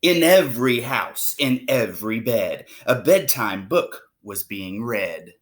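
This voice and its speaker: A woman reads aloud to children in a slow, measured way. Her slightly low voice moves up and down a great deal, with expressive, dramatic storytelling. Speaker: storybook reader. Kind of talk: children's read-aloud of a rhyming picture book.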